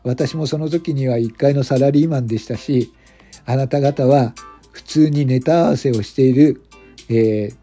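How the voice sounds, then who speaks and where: A man playing a husky, friendly young company boss. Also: mid-range voice, normal speed, warm tone. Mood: nostalgic. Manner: chatty, polite, unpretentious. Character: young, friendly, casual male company president. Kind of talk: amateur radio drama acting. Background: background music.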